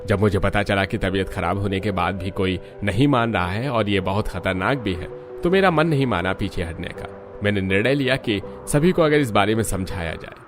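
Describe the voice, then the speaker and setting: A man's voice: on the low side, animated, moderate pace, music in the background, lightly acted. A man playing a concerned village doctor who explains things earnestly in a cartoon moral story. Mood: dutiful.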